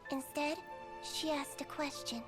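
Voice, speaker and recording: A woman voicing a small, gentle, childlike creature nurse in an anime game, calm and composed. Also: high voice, a little slow, over music. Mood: solemn storytelling. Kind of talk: scripted anime game acting, storytelling.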